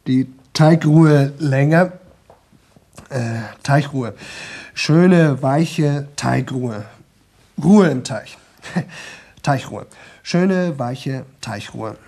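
A man's voice: medium pitch, gravelly, darkish tone, full character voice. An actor playing a young man in a radio drama who talks nonstop for a record, rambling, repeating himself and slipping into singsong. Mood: weary, rambling. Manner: rambling, repetitive, chatty, drifting into singsong. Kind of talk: 1970 German radio play monologue.

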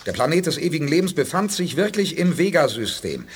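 An actor playing a confident, stubborn spaceship commander with firm, dryly ironic delivery in a 1980s German radio play. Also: male voice, a little high, sing-song, fairly quick, a little hiss or hum. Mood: explaining.

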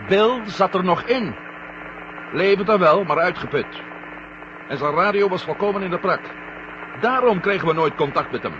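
Defiant astronaut, lively higher voice, humming recording. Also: male voice, background noise. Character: Matt, stubborn optimistic American astronaut, adult man. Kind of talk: 1970 Dutch radio drama acting. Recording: muffled, humming 1970 radio recording.

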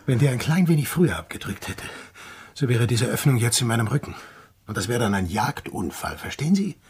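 An actor playing a blunt, combative, sardonic sailor in a radio drama. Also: male voice, medium pitch, sing-song, a little fast, darkish tone, heavily acted. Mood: gruff, bitter. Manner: sardonic, gruff, confrontational. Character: blunt, combative sailor accusing villagers of wartime murder.

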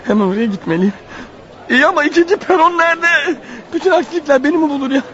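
A man's voice: very high-pitched, lively intonation, fast talker, fairly bright tone. An actor playing an exhausted, wounded man on the run in a radio play, urgent and breathless as he mutters to himself. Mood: panicked, straining. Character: desperate wounded fugitive man, exhausted, racing to his wife. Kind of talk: radio theatre acting, inner monologue. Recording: muffled radio drama recording. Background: music underneath.

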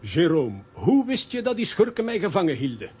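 A very high-voiced cartoon adventurer. He is excitable, gloomy and melodramatic, grumbling his way through a children's radio play. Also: normal speed, husky, full character voice. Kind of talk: children's audio drama acting.

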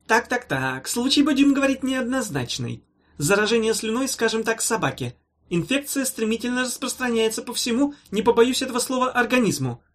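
Audiobook doctor: pompous, fussy, high-pitched, wheedling and sly, swooping pedantic patter. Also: male voice, big pitch swings, brisk, full character voice. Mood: ominously evasive. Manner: wheedling pedantic patter, tutting, padded with stock phrases. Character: pompous, fussy, sly male medical professor, falsely soothing.

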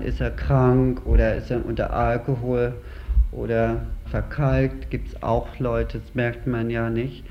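A man's voice: mid-range voice, slight background noise. A man explaining store theft procedures in a matter-of-fact interview manner. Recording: dull lo-fi tape.

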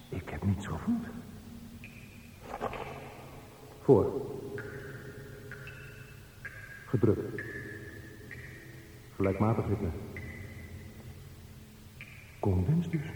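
An actor playing an anxious, brooding lone man, musing in halting fragments in a radio play monologue. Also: lowish voice, warm tone. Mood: anxious, subdued. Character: anxious, brooding lone man walking through a dark tunnel. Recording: reverberant tunnel-like echo, faint noise.

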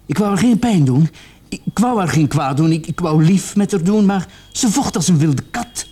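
An actor playing a distraught young man in a radio play, confessing haltingly and repeating his words in agitation. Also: sits high, animated, warm tone, breathy edge. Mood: anguished, desperate.

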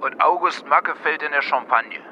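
A man's voice: fairly high pitch, moderate pace, pushed and bright. A man reciting scripted narration as one of several narrators in an audio drama. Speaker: chorus narrator. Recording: dull sound, faint outdoor traffic noise.

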